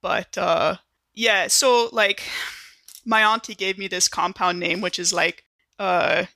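Someone playing a bright chatty ship medic, teasing and rambly, dry close sound, scifi rpg. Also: mid-range voice, fairly even intonation, slow pace, vocal fry, no room sound, full character voice. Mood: reminiscing. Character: Ray, young joking Marine ship medic, friendly and chatty. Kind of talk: improvised in-character tabletop roleplay.

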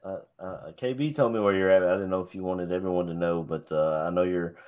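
A man's voice: sits low, steady tone, brisk, a little mellow. A man hosting a casual sports talk show, asking questions hesitantly with plenty of "uh". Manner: friendly, hesitant with fillers. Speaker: podcast host.

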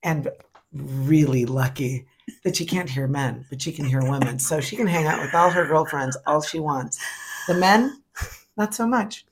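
A middle-aged man co-hosting a podcast, chatting in an animated, gushing, campy way. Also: on the high side, moderate pace, slight background noise. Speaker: podcast co-host. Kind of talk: casual chat.

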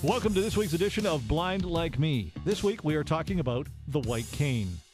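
A man's voice: on the high side, animated, normal speed, music in the background. A man hosting a show, upbeat and conversational, interviewing a guest. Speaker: show host. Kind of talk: podcast interview.

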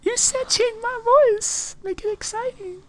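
A man putting on a prissy lady voice in an exaggerated high falsetto. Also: measured pace, very noisy. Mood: mocking. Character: shrill prissy lady, mocking.

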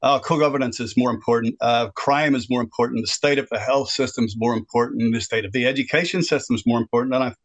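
A sixty-something man with a Northern Irish accent holds forth on a radio phone-in. He is earnest and opinionated and speaks in a middle-pitched voice. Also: fairly even intonation, fast talker, hardly any pauses. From Northern Ireland. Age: 60s. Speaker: radio guest.

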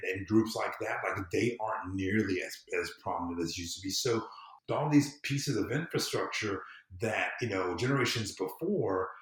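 American man, middle-aged, low voice, conversational podcast guest, storytelling manner, room echo on the recording. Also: a bit bright. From southern United States. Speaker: podcast guest, civic empowerment consultant. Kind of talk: casual conversational interview. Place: quiet room in a friend's home. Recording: roomy echo around the voice.

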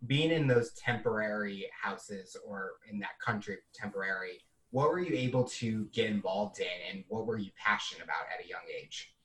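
A man's voice: fairly low pitch, moderate pace, few pauses, a bit bright, distant mic, poor audio. A young adult man hosting an interview podcast, asking friendly, long questions. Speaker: podcast host.

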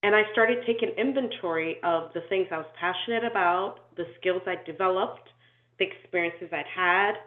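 Woman on a tinny, echoey call. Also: fairly low pitch. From Nigeria. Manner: thoughtful, measured, with frequent ums and restarts. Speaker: inclusion and diversity consultant, guest. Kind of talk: reflective interview storytelling.

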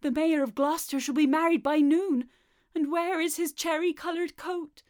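A woman's voice: sits high, steady tone, normal speed, a little mellow, dry recording, clean studio sound, pitched above the performer's own voice, natural voice. A woman voicing a poor, worn-out old male tailor who sounds weary and fretful.

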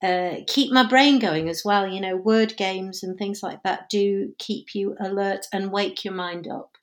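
A woman's voice: mid-range voice, fairly even intonation, measured pace. A woman actor being interviewed, talking in a chatty way, laughing often and correcting herself. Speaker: actor and dancer guest. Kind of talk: conversational interview.

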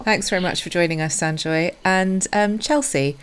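A woman's voice: on the low side, normal speed, clear, resonant tone. A woman hosting a podcast panel, introducing the discussion and inviting guests to speak. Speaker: podcast host, clinical editor.

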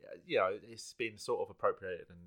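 A man's voice: fairly low pitch, brisk, a bit bright. A middle-aged man with a South London accent, a comedian chatting casually on a podcast and thinking aloud with easy laughs. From South London, England. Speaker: guest comedian.

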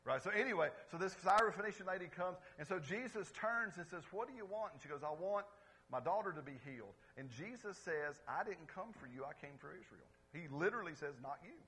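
A pastor preaching to his congregation in a lively, conversational way, calling out for responses and swinging between loud exclamations and quiet asides. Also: sits high, fairly quick, husky, poor audio. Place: church service before a congregation.

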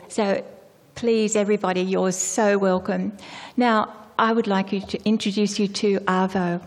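A woman's voice: medium pitch, a little mellow. A woman introducing a guest speaker with a friendly, informal announcement in a church. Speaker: parish organizer introducing speaker. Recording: long church reverb.

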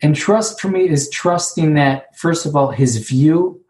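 A man with a Hebrew accent, Hebrew being his first language, sharing about recovery and sponsorship at an online conference, speaking haltingly and repeating words as he searches for them. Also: on the high side, a little mellow. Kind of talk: personal share at an online conference. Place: sitting alone, remote.

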